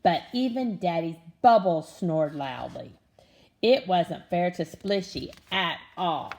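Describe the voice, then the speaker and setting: Very animated woman reading a children's story. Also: on the low side, slow, vocal fry, a little hiss or hum. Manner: animated, expressive, with dramatic gasps and exclamations. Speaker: storybook reader. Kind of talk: read-aloud of a children's picture book.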